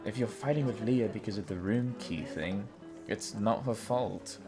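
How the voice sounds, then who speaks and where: A man's voice: lowish voice, a little slow, over music. An actor playing an easygoing young man in an audio drama, bantering in a laid-back, teasing way. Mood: candid, nudging.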